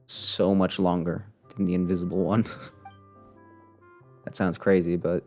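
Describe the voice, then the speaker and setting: A man's voice: low-pitched, flat delivery, a little slow, a little mellow, soft background music. A man livestreaming a game, giving casual commentary full of wry, joking asides.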